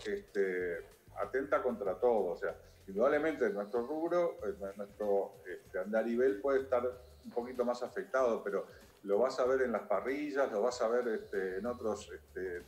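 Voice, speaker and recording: A man's voice: on the low side, normal speed, a little mellow. An older man with a Uruguayan accent, a restaurant owner speaking remotely as an interview guest, hesitant and full of filler words. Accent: Uruguayan Spanish. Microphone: video call. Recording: echoey video call.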